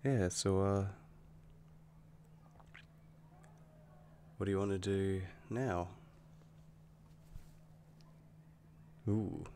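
Man as a deep, gravelly, slow-talking werewolf boyfriend. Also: warm tone, a little hiss or hum. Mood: relaxed, affectionate. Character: affectionate young male werewolf boyfriend, easily angered, protective. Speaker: roleplay audio performer. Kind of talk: intimate boyfriend roleplay ASMR.

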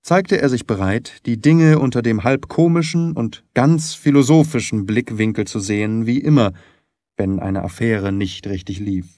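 A man's voice: medium pitch, normal speed, darkish tone, dry recording, clean studio sound. A man reading literary fiction as a scripted audiobook narrator.

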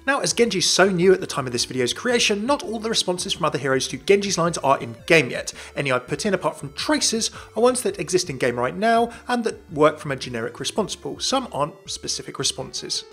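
A young man narrates a scripted fan video. His voice sits a little high for a man. He is chatty, with jokey asides, and soft music plays behind him. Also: sing-song, a little fast, few pauses, husky.

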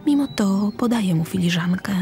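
A woman's voice: mid-range voice, expressive, moderate pace. A woman reading scripted narration for an audio drama, describing the action as narrator. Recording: music under the voice. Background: music.

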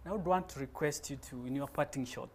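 A man's voice: mid-range voice, fast. A man hosting and moderating a webinar panel in a studio, speaking in a formal, announcer-like way. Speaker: host, moderator. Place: studio set.

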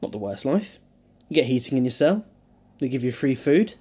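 A man playing a flippant, protective alien time traveller in a fan audio drama, with a husky voice and wry, bantering delivery. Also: medium pitch, big pitch swings, moderate pace, darkish tone.

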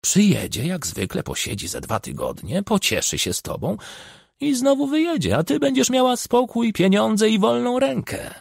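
A man voicing an old village witch, bossy and sly, with a screechy, croaking voice and a commanding, self-assured manner. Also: high voice, huge pitch swings, normal speed, darkish tone, no echo, clean studio sound, pitched above the performer's own voice, full character voice. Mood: shrewd, assured.

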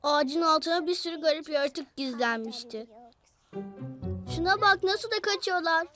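Casting: eager boy of five, very high voice, simple childlike storytelling for preschool TV, music behind. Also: normal speed. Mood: curious. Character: eager five-year-old boy on an outing. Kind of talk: children's show dub narration.

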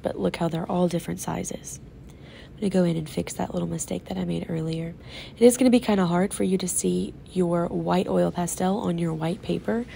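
A sick, congested woman teacher talks young children through an art project step by step, patient and instructive. Her voice is low and heavily gravelly, with a dark, muffled tone. A faint hum sits behind her. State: sick, congested and sore-throated. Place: classroom table. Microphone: off-camera voice over an overhead tabletop camera.

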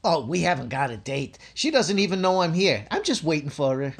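A man's voice: on the high side, big pitch swings, a little fast, heavy background noise. A man playing a hapless, brash, lovesick young suitor who stammers melodramatically in an old-time radio sitcom. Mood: nervous, hopeful.

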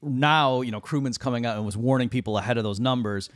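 A middle-aged man talking economics in a casual discussion, speaking from a small room into a boom-arm studio mic on a video call. He is animated and mocks the people he quotes by lifting his voice. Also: fast, no room sound. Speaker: economist guest.

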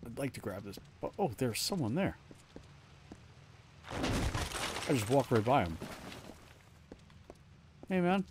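A man's voice: medium pitch, big pitch swings, slow, pauses often, background noise. A man giving casual live gameplay commentary, thinking aloud in short, slangy remarks. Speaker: video game streamer. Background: game music.

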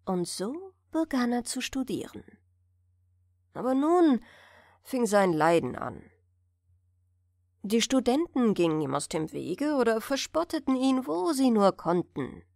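A woman reads a bedtime fairy tale in a fairly high, very expressive voice. She takes it at an unhurried pace, close to the microphone, like an audiobook. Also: no room sound. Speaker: audiobook narrator.

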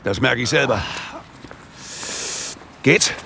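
An actor playing a commanding police officer in a German audio drama, giving clipped, barked orders. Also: male voice, medium pitch, slow pace, creaky. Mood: commanding, tense. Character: commanding British police officer leading a siege. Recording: loud action noise behind.

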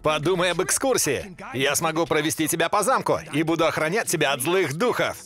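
Man acting scheming young devil, swinging pitch. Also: expressive, fairly quick, over music, lightly acted. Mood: scheming, insistent. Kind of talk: animated series dub acting.

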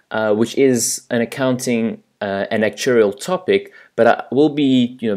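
A man's voice: lowish voice, darkish tone. A man with a South African accent, chatting casually and a little self-deprecatingly as he walks through a screen recording. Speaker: channel host.

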